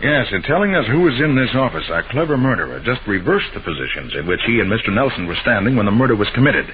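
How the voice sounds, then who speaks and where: An American actor playing a confident, clever master detective in a 1940s radio drama, explaining things with assurance. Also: male voice, medium pitch, sing-song, quick pace, a crowd in the background, lightly acted. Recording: tinny, noisy old radio recording.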